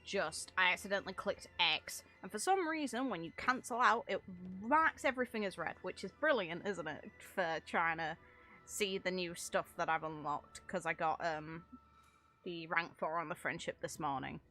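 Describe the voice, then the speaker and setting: Bright-voiced woman streamer, thinking aloud. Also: fairly low pitch, normal speed, soft background music. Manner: rambling, thinking aloud, mildly sweary. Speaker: game streamer. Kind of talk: casual live reaction commentary.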